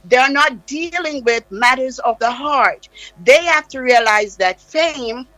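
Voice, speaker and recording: Passionate high-voiced Jamaican woman. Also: slow, a bit bright, no echo, rough recording. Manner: passionate, insistent, emphatic. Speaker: interview guest. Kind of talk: heated interview talk. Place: radio studio.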